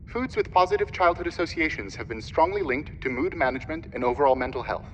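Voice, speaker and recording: A man's voice: a little high, expressive, fast, lightly acted. A man playing a courteous, clinical spaceship computer in a radio play, speaking formally and precisely. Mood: formal, attentive. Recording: muffled, band-limited sound with background noise.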